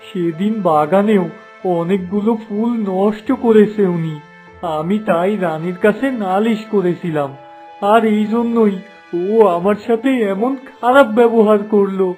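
A man's voice: high-pitched, a little slow, darkish tone, faint music behind. A man playing a poor, mistreated palace garden servant in a fairy-tale cartoon, pleading and aggrieved.

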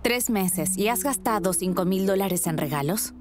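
A woman's voice: medium pitch, lively intonation, normal speed, background noise, close to an everyday voice. A woman with a Latin American Spanish accent dubbing a skeptical, protective young woman in a reality show. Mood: skeptical, concerned. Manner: frank, questioning. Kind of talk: dubbed reality show talk and confessional. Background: background music.

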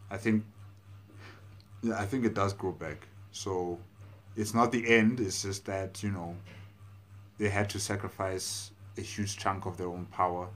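A man in his thirties giving casual reaction commentary in a halting, thinking-aloud way, recorded in a room on a clip-on mic. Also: low-pitched, steady tone. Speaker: reaction video host. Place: indoor room at home.

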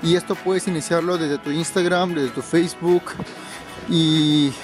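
Young man, high voice, travel vlogger, emphatic advice, outdoors, camera at arm's length, music behind. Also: fairly even intonation, a little slow, poor audio. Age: 20s. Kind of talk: informal advice vlog to camera. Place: outdoors in city square and narrow streets.

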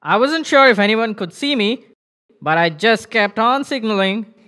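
A man voicing an elderly fisherman grandfather in a children's puppet show, with a slow, drawn-out storytelling manner. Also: sits high, lively intonation, bright, forceful tone.

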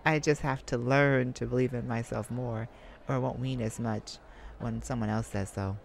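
A woman voicing a bashful, flirtatious male lounge singer in a soft, hesitant, intimate ASMR roleplay. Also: very deep voice, fairly even intonation, normal speed, vocal fry, slight background noise, full character voice. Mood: flattered, flirty.

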